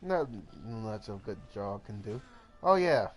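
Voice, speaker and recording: A man's voice: fairly low pitch, expressive, normal speed, darkish tone, soft background music. A man streaming a video game, making short, casual, offhand remarks as he plays. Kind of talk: casual live gameplay chat.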